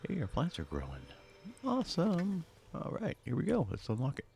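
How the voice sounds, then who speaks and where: A man's voice: on the high side, huge pitch swings, moderate pace, vocal fry, darkish tone, slight background noise. A man giving casual walkthrough commentary on a video game, talking through each of his own actions. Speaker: gaming video host.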